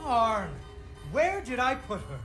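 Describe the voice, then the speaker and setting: Flustered puppeteer dad, game storybook narrator, very lively pitch, mock-solemn, music clearly behind. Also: male voice, takes it slowly, exaggerated voice. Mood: flustered, searching. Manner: playfully dramatic, mock-solemn. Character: flustered puppeteer father telling a playful fairy tale.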